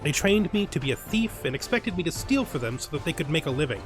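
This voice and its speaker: A man's voice: fairly high pitch, very animated, fast, music in the background. A man reading as a boastful young bandit boss. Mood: scornful. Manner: proud first-person journal reading.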